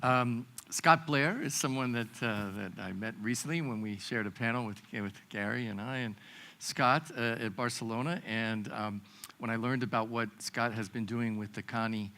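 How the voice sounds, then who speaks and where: Distant male moderator with a bright voice. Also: mid-range voice, moderate pace. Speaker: panel moderator. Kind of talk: introducing a speaker.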